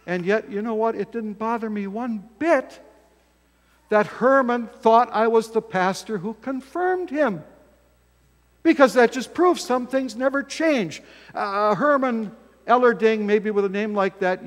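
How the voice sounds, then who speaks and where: An older male pastor preaching a folksy, self-deprecating sermon from the pulpit of a church sanctuary, filmed by a camera across the room. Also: high-pitched. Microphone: fixed camera from across the church. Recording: long church reverb.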